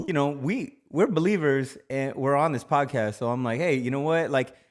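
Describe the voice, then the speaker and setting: A man's voice: mid-range voice, normal speed. A man co-hosting a casual podcast, talking in a loose, rambling way with many fillers. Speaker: podcast co-host.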